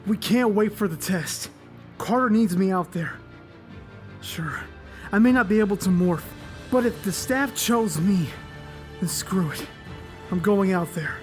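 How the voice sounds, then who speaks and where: A man's voice: sits high, expressive, unhurried, pauses often, faint music behind. A man playing an eager young ranger recruit. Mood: determined.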